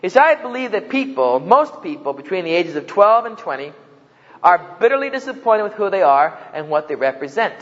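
A man with a New Jersey accent speaks to a campus audience in a fairly high voice, telling jokes and stories. The sound is dull and carries a long echo from the hall around him. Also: very animated, a little fast. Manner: joking storyteller, acts out quoted voices. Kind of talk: humorous public talk.

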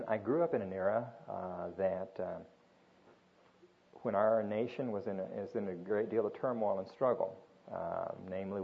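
Older man from Japan, earnest informal sermon, lowish voice, muffled lo-fi recording. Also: creaky. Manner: earnest, with frequent restarts and fillers. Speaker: guest speaker at a church gathering. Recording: dull lo-fi recording.

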